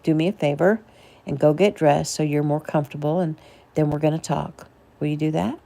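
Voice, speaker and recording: A woman's voice: sits low, steady tone, a little fast, vocal fry, mellow. A woman voicing a caring, protective man in a story, speaking in a soothing, patient manner. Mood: gentle, patient.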